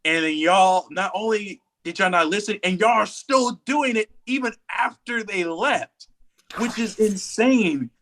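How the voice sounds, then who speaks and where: A man's voice: high voice, a little slow, fairly bright tone. A man co-hosting a podcast, talking casually and giving opinions with emphatic, repeated phrasing. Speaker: podcast co-host.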